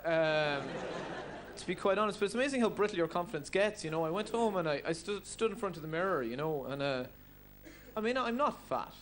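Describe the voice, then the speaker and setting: A man's voice: high voice, expressive, fairly quick, fairly bright tone. A man of thirty with a Cork Irish accent telling stand-up stories in a hesitant, self-deprecating way. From Cork, Ireland. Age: 30s. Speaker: stand-up comedian. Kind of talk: stand-up storytelling. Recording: noisy live stage recording.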